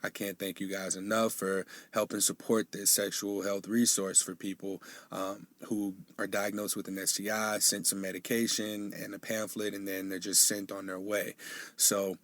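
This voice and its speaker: Stuffy-nosed guy doing a solo podcast, lowish voice, dry close mic, chatty and full of ums. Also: flat delivery, normal speed, fairly bright tone, dry recording. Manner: casual and chatty, with frequent fillers. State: nasal and sniffly from a coming cold. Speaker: podcast host.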